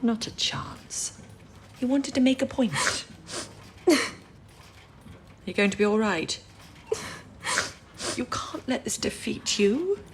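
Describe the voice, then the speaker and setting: A woman acting in an audio drama as a steady, encouraging, experienced wartime codebreaker who reassures and explains patiently. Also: a little high, takes it slowly, long pauses. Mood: reassuring.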